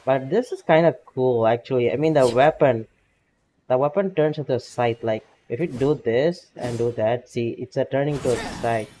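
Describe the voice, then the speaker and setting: A man with a non-native English accent chatting casually while streaming a game, halting and full of fillers. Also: medium pitch, mellow, slight background noise. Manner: halting, filler-heavy, self-conscious. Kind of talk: casual live game commentary.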